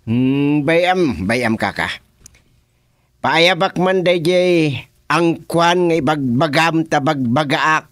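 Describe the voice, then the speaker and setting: Casting: actor as bemused village chairman, radio comedy, highish lively voice, slow drawl, full of interjections. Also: no room sound, clean studio sound. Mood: chiding, teasing. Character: village chairman, bemused, joking listener to complaints. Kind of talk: comedic radio drama acting.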